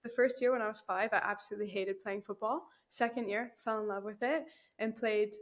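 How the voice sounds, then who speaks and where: A woman's voice: medium pitch, fairly quick. A young woman, chatting as a guest in an interview, laughing easily and using lots of fillers. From Canada. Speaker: guest, new team member. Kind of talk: casual interview chat.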